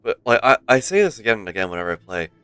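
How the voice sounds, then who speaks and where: A man's voice: on the low side, big pitch swings, racing, bright, forceful tone, smooth, dry recording. A man chatting casually on a game livestream, rambling and playful. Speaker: variety game streamer. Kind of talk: casual livestream chat and commentary. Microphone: close streaming microphone. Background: faint game music.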